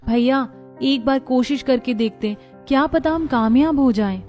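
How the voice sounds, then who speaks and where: A woman's voice: high voice, normal speed, darkish tone, faint music behind, close to an everyday voice. A woman voicing a hopeful, encouraging young woman in a family cartoon.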